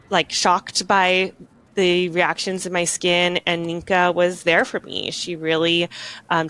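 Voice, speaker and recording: A young woman hosting a podcast interview, chatty and warm, sprinkling her questions with "like" and "um". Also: fairly low pitch, smooth. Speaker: podcast host. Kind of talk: interview conversation.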